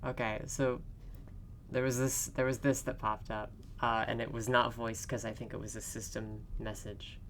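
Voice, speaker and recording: A woman's voice: extremely low pitch, level tone, moderate pace, slight background noise. A young woman playing a visual novel on a call, self-deprecating and laughing often, answering her friend in short replies. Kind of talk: casual chat while playing a game.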